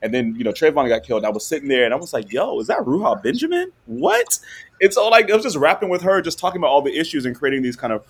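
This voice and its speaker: A law professor guest talking casually and animatedly in an interview, often laughing and checking in with "right?". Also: male voice, fairly high pitch, big pitch swings, fast talker, husky.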